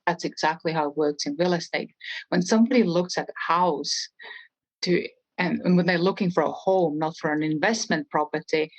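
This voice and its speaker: Low, even-pitched Estonian-accented woman. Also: dry recording, rough recording. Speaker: interview guest, real estate trainer. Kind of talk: conversational interview.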